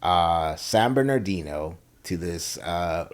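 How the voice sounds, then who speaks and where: A man's voice: low voice, expressive, takes it slowly. Laid-back, foul-mouthed Salvadoran-born man. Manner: candid storyteller, swears freely. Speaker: podcast guest, real estate professional. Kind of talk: casual podcast conversation.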